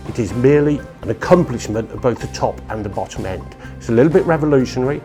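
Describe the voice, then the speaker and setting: A man's voice: medium pitch, mellow. An older British man speaking earnestly and emphatically in a promotional interview as a company owner. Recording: music behind the voice. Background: faint music.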